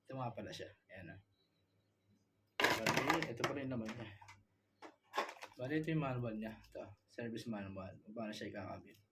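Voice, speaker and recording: A man with a Filipino accent giving a casual product demo as a vlogger, halting and repeating himself as he searches for words. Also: lowish voice, noisy background.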